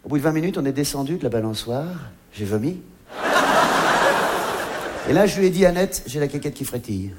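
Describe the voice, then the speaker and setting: A male stand-up comedian tells stories in a high-pitched voice. He is chatty and mock-earnest, cutting himself off often, and there is noticeable noise behind him. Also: lively intonation, fairly quick, pauses often. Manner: conversational, mock-earnest, with self-interruptions. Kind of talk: comic storytelling monologue. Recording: clear background noise.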